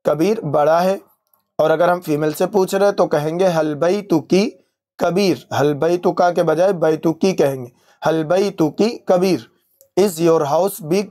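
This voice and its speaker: Slow, high-voiced man teaching phrases. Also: a little mellow. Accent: Urdu-Hindustani. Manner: formal polite Urdu address, spells out each word slowly. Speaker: Arabic language teacher.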